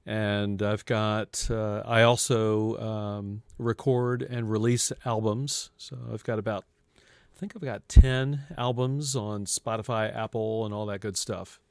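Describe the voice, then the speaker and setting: A man's voice: lowish voice, fairly even intonation, slow pace, a little mellow, clean tone, dry recording. A man in his early sixties talking casually as an interview guest, chatty and self-deprecating. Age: early 60s. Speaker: podcast guest, ambient guitarist.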